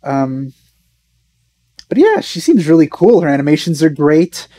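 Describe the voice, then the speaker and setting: A man's voice: on the high side, big pitch swings, measured pace, warm tone, no room sound. A young man giving casual reaction commentary in a laid-back, musing way. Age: young adult. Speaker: reaction video host.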